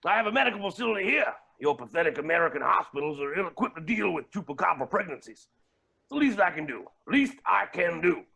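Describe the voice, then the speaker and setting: A man playing a smug, sinister billionaire villain in a staged comedy reading, theatrical and oily with a raspy voice. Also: high voice, big pitch swings, fast, bright, forceful tone, heavily acted. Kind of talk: staged reading of a comic play.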